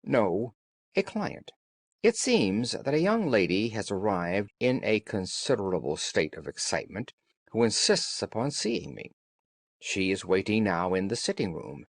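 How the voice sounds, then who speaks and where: A man voicing a brisk, observant gentleman detective in a storybook, courteous, precise and assured. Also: lowish voice, big pitch swings, dry recording, exaggerated voice. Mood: brisk, apologetic. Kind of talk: audiobook character dialogue.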